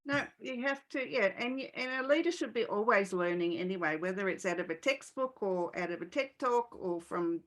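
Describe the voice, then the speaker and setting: A woman's voice: medium pitch, lively intonation, normal speed, few pauses. A woman hosting a casual interview podcast, plain-spoken and conversational as she agrees and adds her own views. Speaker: podcast host.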